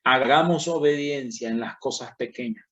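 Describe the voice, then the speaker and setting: A man who is a pastor, teaching a Bible class in sermon style, slow and emphatic, rising on key words and speaking straight to his listeners. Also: medium pitch, fairly bright tone, highs cut off.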